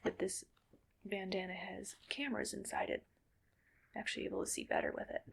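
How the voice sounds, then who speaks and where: A woman playing a gentle, weary cyborg soldier in a whispered ASMR roleplay, speaking breathily in slow, halting, soothing phrases. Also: medium pitch, level tone, gravelly, slight background noise. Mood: calm, reassuring.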